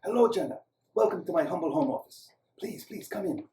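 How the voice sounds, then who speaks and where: A man voicing a gentle, courteous older male doctor with an Eastern European accent in a story, speaking hesitantly. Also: a little high, very animated, a little fast, vocal fry, voice pushed up. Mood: welcoming. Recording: roomy, echoing, distant microphone.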